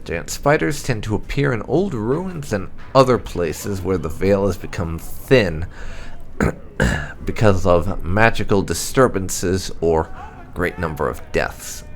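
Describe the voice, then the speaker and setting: A man narrating game lore aloud as a playthrough host, in a measured reading manner. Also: sits low, faint music behind.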